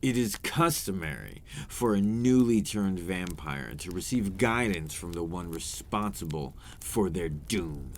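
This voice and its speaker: Man playing a very deep, slow, brooding vampire. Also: slight background noise, heavily acted. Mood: bitter, grave. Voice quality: deep, breathy at times. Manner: slow, deliberate, theatrical, formal wording. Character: remorseful male vampire, seductive, brooding and menacing. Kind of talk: ASMR roleplay, dramatic monologue.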